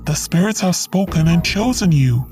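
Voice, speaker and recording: Woman as red dog spirit, low voice, eerie and possessive, slow calm delivery, music bed. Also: lightly acted. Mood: ominous. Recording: music behind.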